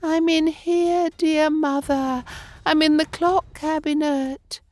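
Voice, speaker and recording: Woman playing high-pitched, childlike little storybook goats. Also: steady tone, slow, darkish tone, no room sound. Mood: small, relieved. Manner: high, childlike, emphatic delivery. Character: brave, wary little goat children.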